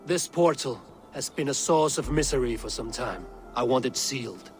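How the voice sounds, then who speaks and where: A man playing a stern, wary Norse high god in a video game cutscene, speaking in a measured, authoritative and formal way. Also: expressive, close to an everyday voice. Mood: wary, suspicious.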